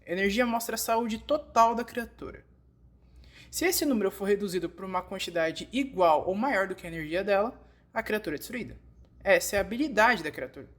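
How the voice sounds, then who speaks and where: A woman's voice: medium pitch, animated, a little fast. A woman with a Brazilian accent reads scripted instructional narration, explaining things step by step as in a fan-dubbed tutorial.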